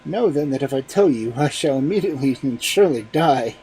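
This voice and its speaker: A man's voice: medium pitch, expressive, brisk, darkish tone, a little hiss or hum, lightly acted, low-quality recording. A man voicing an exasperated, stubborn farmer husband in a fairy tale, grave and emphatic. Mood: grave warning.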